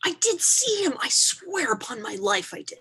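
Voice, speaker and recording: Woman as high, swooping, fretful little child. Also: big pitch swings, normal speed, pushed and bright, full character voice. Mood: frightened insistence. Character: small, fretful, insistent child afraid of the boogeyman. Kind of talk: staged reading, mock-Shakespearean dialogue.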